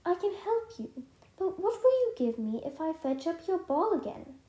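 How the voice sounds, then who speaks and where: A high-pitched talking frog from a storybook reading, coaxing and bargaining, as a woman acts him out. The voice has an odd, altered timbre, and the recording carries a roomy echo. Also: very animated, moderate pace, warm tone. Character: talking male frog in a well, bargaining and pleading.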